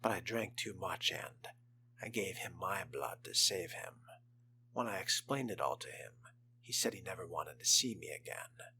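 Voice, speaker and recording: A man voicing a naive, frightened young vampire reading dated diary entries in a hushed, confessional way. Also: low voice, lively intonation, moderate pace, slight background noise, heavily acted. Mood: anguished, afraid. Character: young fledgling vampire diarist, naive, lovesick, afraid.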